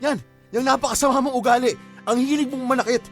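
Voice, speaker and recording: A fed-up young boyfriend with a high voice. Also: male voice, fairly even intonation, fast talker, fairly bright tone, faint music behind. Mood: bitter. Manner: blunt and exasperated, turning tearful. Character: worn-out young male student boyfriend, blunt and fed up. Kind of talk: radio drama acting.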